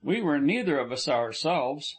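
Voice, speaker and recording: A man reading a courteous young gentleman. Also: fairly high pitch, very animated, moderate pace, breathy edge. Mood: wry. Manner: gentle, reassuring, formal. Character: courteous, measured young male engineer.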